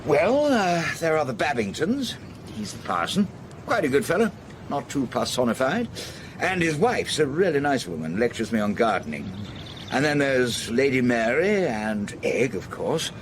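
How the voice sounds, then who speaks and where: Actor as hearty theatrical Englishman, very lively delivery. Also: mid-range voice, normal speed, natural voice. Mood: chatty. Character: genial theatrical retired actor playing hearty old sailor. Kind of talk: British radio drama acting. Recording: noisy radio play recording.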